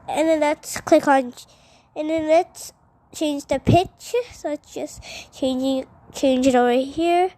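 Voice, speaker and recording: High-pitched woman giving a slow tutorial. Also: steady tone, warm tone. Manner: hesitant, repeats instructions as she goes. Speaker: tutorial presenter. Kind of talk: informal step-by-step software tutorial.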